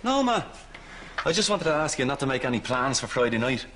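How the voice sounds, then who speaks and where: A man's voice: medium pitch, very animated, brisk, fairly bright tone, a little hiss or hum. A man playing a patient, awkward middle-aged son with a Dublin accent in a television sitcom. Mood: serious. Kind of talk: naturalistic sitcom acting. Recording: studio audience behind dialogue.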